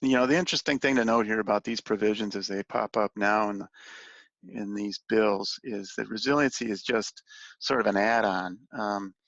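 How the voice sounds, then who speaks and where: A man with a fairly low, gravelly voice talks through a slide briefing on a conference call. His delivery is halting and informal, with phrases that trail off. The sound is roomy and echoey. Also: a bit bright, muffled recording. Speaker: industry association policy advocate briefing on legislation.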